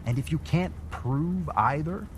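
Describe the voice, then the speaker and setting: A man's voice: on the high side, a little slow, heavy background noise, close to an everyday voice. An actor playing a wary, blunt city councilman who argues in a skeptical way in an audio drama. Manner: skeptical, argumentative.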